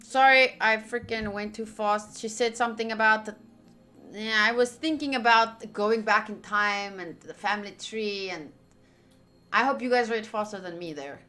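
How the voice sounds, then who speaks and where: A woman streamer reading game text aloud and reacting out loud with exclamations as she comments. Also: mid-range voice, moderate pace. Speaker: visual novel playthrough streamer.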